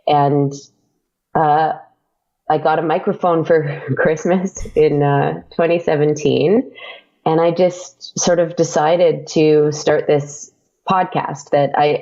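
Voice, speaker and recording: A woman's voice: low-pitched, vocal fry, dark tone, dull audio. A woman speaking casually as an interview guest, thinking aloud in a hesitant way. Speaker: interview guest, writer and podcaster.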